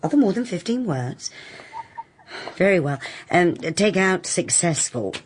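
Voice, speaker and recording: An English actress in a radio drama, playing a brisk, capable woman detective with a dry, wry manner. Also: fairly low pitch, sing-song, slight background noise. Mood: businesslike. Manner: brisk, dry, matter-of-fact. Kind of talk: BBC radio drama acting.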